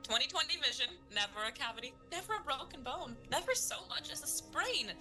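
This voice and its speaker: Woman playing an excitable, bright, boastful mother. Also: high voice, fairly quick, soft background music, full character voice. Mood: gushing, proud. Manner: gushing, interrupting, nervously dismissive. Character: boastful, chatty, overbearing mother of a star student. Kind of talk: comedic audio drama acting.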